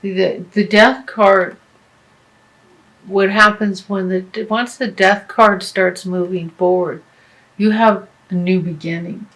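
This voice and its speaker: Slow, halting woman reading tarot. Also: medium pitch, fairly even intonation, a bit bright, low-quality recording. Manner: halting, many fillers and restarts. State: losing her voice. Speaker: tarot reader.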